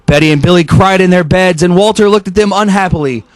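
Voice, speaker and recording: A male radio host with a high voice and a very dry, close sound reads a children's story. He hams up the drama playfully and drops in casual asides. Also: fast, no room sound. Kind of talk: animated storybook read-aloud with off-the-cuff asides.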